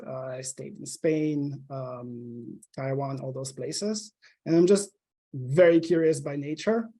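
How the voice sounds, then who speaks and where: Slovenian guy on a podcast, kinda high voice, eager, says um a lot. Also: mellow. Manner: eager, with frequent ums and uhs. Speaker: podcast guest. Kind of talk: casual interview chat.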